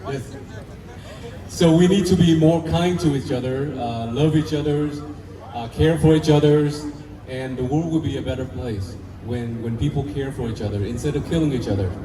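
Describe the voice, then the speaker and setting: A man, talking earnestly and haltingly with restarts as a filmmaker interviewed at an outdoor festival. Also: medium pitch. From Vietnam. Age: 50s. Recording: echoey, distant mic with crowd noise.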